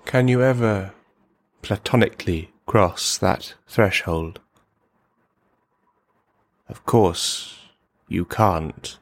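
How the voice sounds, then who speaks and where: A man playing a lonely, menacing misogynist in a radio play monologue, speaking in formal, mannered, halting phrases. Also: deep voice, full character voice. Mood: leering, unsettled.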